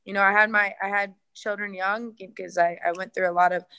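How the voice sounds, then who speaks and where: A woman's voice: mid-range voice, little pitch movement, brisk, sharp tone, clear, resonant tone. A young adult woman fighter, answering interview questions earnestly, with many fillers and restarts. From United States.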